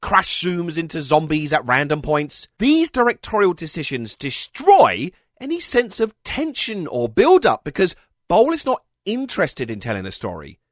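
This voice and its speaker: A man narrates a scripted, comedic film critique with wildly animated intonation. He is sarcastic and exasperated, hammering his points and swearing freely. The recording is dry and close. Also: fairly high pitch, moderate pace, dry recording. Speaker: video essayist, film reviewer.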